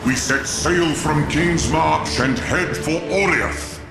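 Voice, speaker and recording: A man playing a grave leader in a fantasy game, speaking in solemn, measured, dramatic lines. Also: on the high side, vocal fry. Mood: grim. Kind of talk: dramatic scripted game narration and dialogue. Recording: roomy echo, music underneath.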